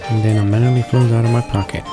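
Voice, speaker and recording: A man's voice: medium pitch, little pitch movement, fast talker. A man reading visual novel text aloud as narration, sometimes stumbling over the words. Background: game background music.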